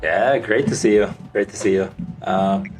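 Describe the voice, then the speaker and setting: Low-voiced Korean-accented man in conversation. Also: steady tone, moderate pace, a little mellow, soft background music. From South Korea. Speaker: podcast guest, startup founder. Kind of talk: casual interview answers.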